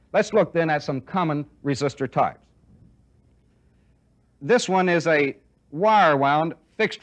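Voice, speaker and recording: A middle-aged American man giving a technical lecture as a military instructor, deliberate and methodical, stressing key points by repeating them. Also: a little high. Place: indoor training film classroom studio with chalkboard and desk.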